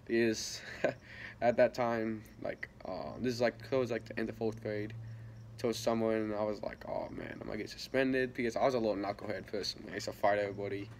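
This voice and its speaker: A man's voice: fairly low pitch, steady tone, fairly quick, a little hiss or hum. Rambling teenage guy telling a story. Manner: rambling, many fillers and restarts, soft laughs. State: a little sick. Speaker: vlogger. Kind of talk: casual storytelling vlog.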